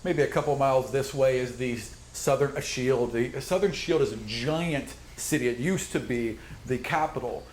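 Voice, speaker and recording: A man's voice: mid-range voice, moderate pace, hardly any pauses, a little hiss or hum. A man improvising tabletop game narration as game master, descriptive and conversational. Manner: conversational, descriptive, swears casually.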